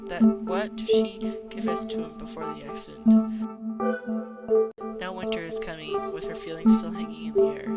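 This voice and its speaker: A woman reading visual novel text aloud as an amateur video maker, halting and stumbling over names and words. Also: fairly high pitch, very animated. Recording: music playing behind the voice. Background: game music.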